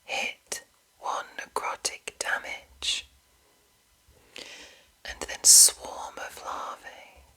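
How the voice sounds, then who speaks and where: Woman, breathy ASMR whisper, slow deliberate delivery, crisp bright edge, close mic. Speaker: ASMR host reading a monster manual. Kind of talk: whispered ASMR reading with casual asides.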